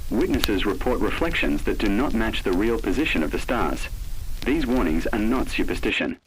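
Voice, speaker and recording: A man's voice: on the low side, slight background noise, rough recording. A man narrating a scripted horror warning in a grave, ominous manner.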